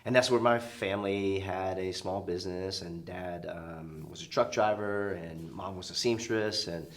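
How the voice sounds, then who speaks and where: Low voiced guy in his 40s, interview guest telling his story, casual and kinda reflective. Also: measured pace. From southern United States, born in Vietnam.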